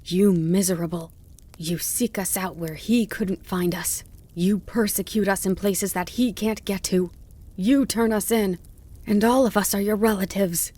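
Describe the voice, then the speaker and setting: A woman's voice: medium pitch, a little slow, mellow, lightly acted. A woman voicing a wounded, exhausted old male fox in a storybook, speaking with desperate, bitter emotion. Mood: bitter contempt. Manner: imploring, lamenting, turning to bitter snarls.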